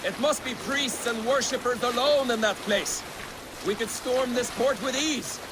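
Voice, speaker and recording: Boastful Viking plunderer, very high voice, blunt, boisterous, game cutscene, strong water ambience. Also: male voice, measured pace, background noise. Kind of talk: video game cutscene acting. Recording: loud water and boat ambience.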